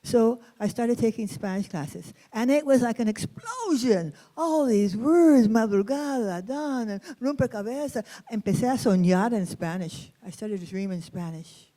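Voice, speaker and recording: A woman's voice: a little high, expressive, moderate pace, hardly any pauses, mellow. A woman storyteller from East Los Angeles, with an accent from there, telling a personal story expressively, weaving in Spanish words and translating them.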